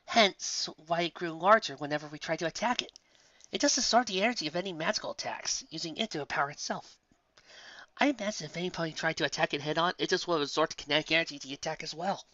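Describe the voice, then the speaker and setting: Woman doing an earnest young unicorn, raised pitch. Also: fast talker, a bit bright, no room sound, muffled recording. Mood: sheepish, explaining. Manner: hesitant, explanatory.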